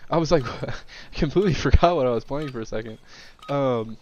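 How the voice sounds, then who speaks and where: A man's voice: medium pitch, a little fast, a little mellow. A young man with a nasal, congested voice, chatting casually while playing a shooter, rambling with many fillers and restarts. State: congested from sickness. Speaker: gaming streamer.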